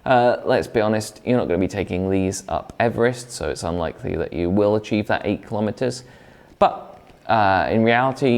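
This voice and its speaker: A man's voice: on the low side, a little mellow. A man presenting a product review in a conversational, explanatory way, partly scripted.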